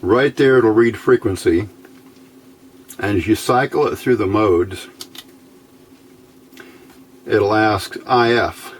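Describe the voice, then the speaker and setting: A man's voice: fairly low pitch, slow pace, pauses often, a little hiss or hum. A man giving a casual hands-on demonstration as an electronics hobbyist, talking in a folksy, informal, rambling way.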